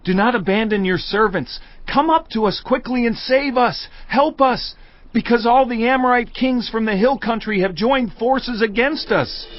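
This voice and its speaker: An actor playing a frightened, submissive servant pleading for mercy in a Bible audio drama. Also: male voice, extremely high pitch, moderate pace, no room sound, highs cut off. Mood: desperate pleading.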